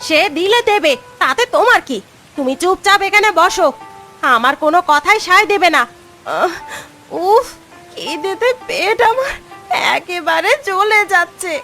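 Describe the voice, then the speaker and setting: A woman playing a bitter, sharp-tongued cartoon wife, scolding and complaining sarcastically. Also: extremely high pitch, animated, measured pace, full character voice. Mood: irritated complaining. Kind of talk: Bengali moral-story cartoon acting. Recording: music under the voice.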